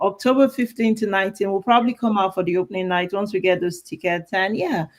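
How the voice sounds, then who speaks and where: Nigerian lady hosting a talk show, chatty, lots of ums, middle pitch. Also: fairly even intonation, fast talker. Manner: warm, chatty, with fillers and restarts. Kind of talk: live show interview, casual.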